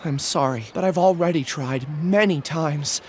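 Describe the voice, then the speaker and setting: A man's voice: on the high side, moderate pace, a little hiss or hum, close to an everyday voice. A man playing a nervous, apologetic young researcher in a video game, speaking hesitantly with stammers. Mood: lost, apologetic. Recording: faint music behind.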